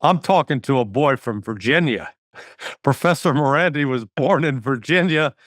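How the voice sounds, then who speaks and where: An older man hosts a talk show and rambles through his introductions, stumbling and restarting often. His voice sits at a middle pitch. It is recorded dry and close. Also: animated, normal speed, fairly bright tone, no room sound. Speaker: show host. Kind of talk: casual interview hosting.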